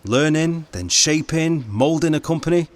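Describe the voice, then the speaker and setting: Radio drama: male actor as theatre-company founder, persuasive and conciliatory yet insistent, lively intonation. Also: a little high, normal speed, dry recording, close to an everyday voice. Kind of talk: audio drama acting.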